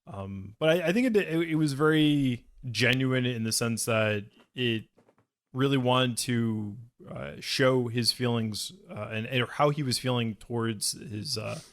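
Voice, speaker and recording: A man co-hosting a podcast, chatting casually with a hesitant, halting delivery. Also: mid-range voice. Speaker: podcast co-host. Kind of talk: casual chat.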